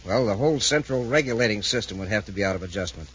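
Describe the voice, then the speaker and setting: An actor playing an earnest, formal, slightly flustered hospital doctor in a 1950s radio serial. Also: male voice, on the low side, animated, very fast, a bit bright, breathy edge. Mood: concerned. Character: earnest, puzzled male hospital doctor and administrator. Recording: dull, faintly noisy 1950s radio recording.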